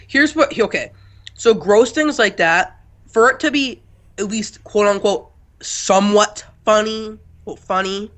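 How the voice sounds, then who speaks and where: A man's voice: high-pitched, sharp tone. A young man co-hosting a casual cartoon review, blunt and dismissive, sniffly from a cold. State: getting over a cold, sniffly. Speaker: co-host reviewer.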